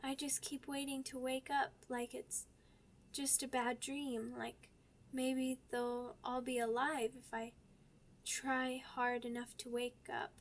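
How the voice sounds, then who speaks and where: A woman voicing a sweet, timid young werewolf pup in an ASMR roleplay, childlike and halting, stammering over simple words. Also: high-pitched, flat delivery. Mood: grieving, subdued. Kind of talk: ASMR roleplay audio drama.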